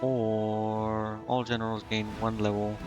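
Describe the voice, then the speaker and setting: A man's voice: on the low side, flat delivery, slow pace. A man doing casual live gameplay commentary, thinking aloud indecisively and reading game text out. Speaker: game streamer. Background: game music.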